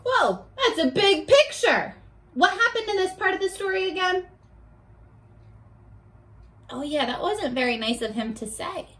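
A young woman leading a read-aloud lesson for children, talking to the camera in an animated, encouraging, child-directed way. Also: very high voice, unhurried, long pauses. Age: young adult. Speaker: children's teacher. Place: bedroom at home, indoors.

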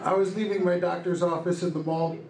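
A young man doing deadpan stand-up comedy in short one-liners into a handheld microphone on a club stage. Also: high voice, fairly quick, a loud crowd. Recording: echoey club room with loud noise. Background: loud noise, audience laughter.

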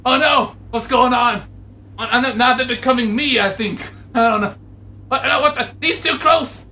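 Man playing an excitable high-voiced scheming inventor. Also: moderate pace, heavy background noise. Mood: panicked. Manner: rambling, excitable, improvised. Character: excitable, scheming male inventor turning squirrel supervillain, easily panicked.

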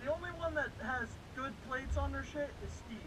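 A man's voice: very high-pitched, fairly quick. A middle-aged man riding along in an open race boat, joking and swearing in casual banter, recorded by an action camera outdoors. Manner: joking, profane, laughs often. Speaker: ride-along passenger. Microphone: helmet or boat-mounted action camera. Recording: distant action-camera audio with wind and engine noise.

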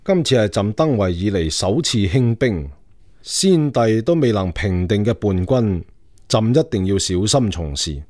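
An actor playing a commanding Qing emperor in a radio drama, speaking in an imperious, measured manner. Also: male voice, fairly low pitch, animated, a little mellow, no room sound, studio quality. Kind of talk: Cantonese radio drama acting.

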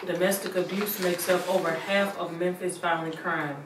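A woman's voice: lowish voice, fairly even intonation, normal speed. A woman reading a scripted public-awareness announcement as a cast member presenting a trailer. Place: small living room, seated before camera. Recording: noisy echoey room, distant mic.

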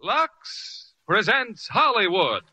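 A man's voice: sits high, big pitch swings, drawn-out words, breathy edge. A man announcing a 1940s radio show in a scripted, showy introduction. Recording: old radio broadcast, loud music behind.

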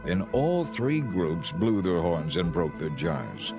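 Male narrator for dramatized Bible audiobook: lowish voice, measured scripted storytelling, soft music bed. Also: sing-song.

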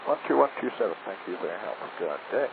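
A deep-voiced man does pilot readbacks over the radio. His voice creaks, and the sound is narrow and staticky. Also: lively intonation, fast talker. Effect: aviation radio. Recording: noisy tinny aircraft radio.

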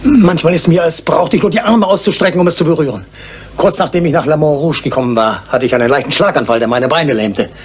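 A man's voice: fairly high pitch, quick pace, breathy edge. A man acting an old, reclusive retired judge, defensive and fearful, in a German radio crime play. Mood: anxious, confiding. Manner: stern, defensive. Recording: faintly noisy old radio play recording.

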